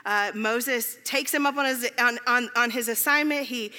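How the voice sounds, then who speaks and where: A woman delivers a conversational sermon in a bright, forceful voice that sits fairly high. She is informal and self-deprecating, repeating key phrases for emphasis. Her words ring out with the long reverb of a large hall. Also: normal speed. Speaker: preacher. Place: large reverberant room, speaking to a congregation.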